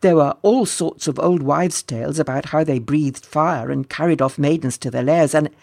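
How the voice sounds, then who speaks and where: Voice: mid-range voice, normal speed, gravelly, darkish tone, no echo, studio quality. A storybook reader voicing an earnest, kindly young groom boy who is timid but eager and a little hesitant. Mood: puzzled, apologetic.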